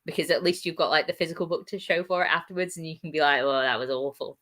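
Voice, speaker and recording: Low, bright-toned woman with a gravelly voice. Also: steady tone. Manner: chatty, self-deprecating, quick to laugh. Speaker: readathon co-host. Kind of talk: casual livestream chat.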